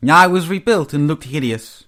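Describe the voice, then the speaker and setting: A man's voice: on the high side, normal speed, pushed and bright, pitched above the performer's own voice. A man voicing a friendly, proud, famous male express engine in a storybook, chatty and emphatic.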